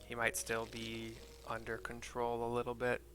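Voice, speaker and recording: A man streaming a game, describing the scenes on screen in an informal, halting, descriptive play-by-play. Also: mid-range voice, monotone, over loud music. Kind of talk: casual play-by-play describing cutscene visuals. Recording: clear game noise behind voice.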